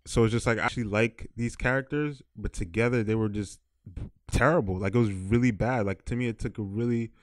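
Guy co-hosting a podcast, lowish voice, dry close sound, casual opinions. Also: steady tone, brisk, no echo. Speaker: podcast co-host.

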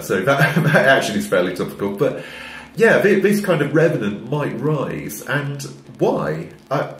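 A man, who has a cold, giving a wry, measured opinion vlog to camera in a small room. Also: fairly low pitch, reverberant, slight background noise. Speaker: commentator. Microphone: wired-in microphone facing camera.

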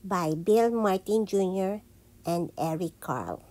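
A woman reading a children's picture book aloud in a singsong, deliberate way, like a teacher with young listeners. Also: mid-range voice, lively intonation, a little mellow. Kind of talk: children's read-aloud storytelling.

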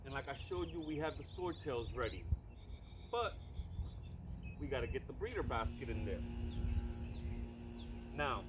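A man in his thirties casually explaining a project to his viewers in a relaxed, chatty way, filmed by a camera set a little away from him in a backyard. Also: fairly high pitch, noisy background. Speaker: fish breeder vlogger. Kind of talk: casual how-to vlog. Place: outdoors in a backyard. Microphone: far camera on a tripod.

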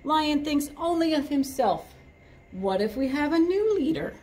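A woman voicing an indignant male monkey from a picture book, delivering a high, agitated protest speech. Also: very animated, measured pace, a little mellow.

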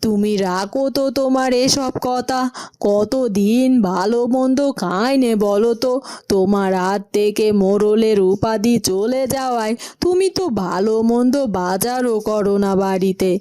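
Brief: woman as a pushy village wife, brisk, warm mellow tone. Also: mid-range voice, clear, resonant tone, close to an everyday voice. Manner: brisk and dismissive. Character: bossy middle-aged village wife. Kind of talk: cartoon dubbing.

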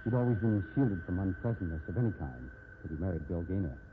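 Deep-voiced wounded radio-drama man. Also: animated, quick pace, music in the background. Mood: bitter. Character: wounded, nervous ex-convict man back from ruin. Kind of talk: 1950s radio acting. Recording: tinny, noisy 1950s radio recording.

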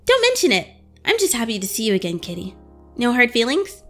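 High, excitable, flustered teenage superheroine voiced by a woman. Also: fairly quick, soft background music. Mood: playful teasing. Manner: stammering, gushing, excitable. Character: flustered, awkward teenage superheroine hiding her crush. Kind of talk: animated-style comic dub acting.

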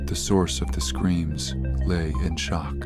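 A man narrating a horror audio drama as a grave storyteller, in a measured, ominous manner. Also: deep voice, little pitch movement, music in the background.

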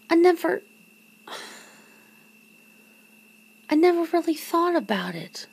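A woman playing a nervous, teasing, disbelieving girlfriend in an intimate ASMR roleplay, talking haltingly and often dropping toward a whisper. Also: very high voice, sing-song, gravelly, exaggerated voice. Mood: hesitant, confused. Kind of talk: ASMR roleplay, one side of an intimate conversation.

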